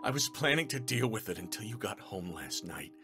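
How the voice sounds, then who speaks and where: A woman's voice: a bass voice, sing-song, a little fast, music in the background, voice pushed down. A woman voicing a secretive, distraught husband in a dramatic story, with a dry, pleading delivery. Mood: tearful, confessing. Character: secretive, desperate, distraught husband confessing to his wife.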